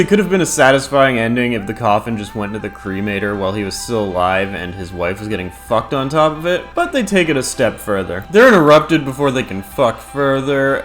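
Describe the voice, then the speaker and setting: Guy doing a countdown review voiceover, animated, sweary and casual, music underneath. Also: mid-range voice. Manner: casual, profane. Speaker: video reviewer and host. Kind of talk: scripted countdown review commentary.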